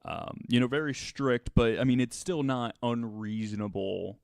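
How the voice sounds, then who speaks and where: A man hosting a podcast, telling a story casually and haltingly, with conversational asides. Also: mid-range voice, creaky, darkish tone, no room sound, studio quality.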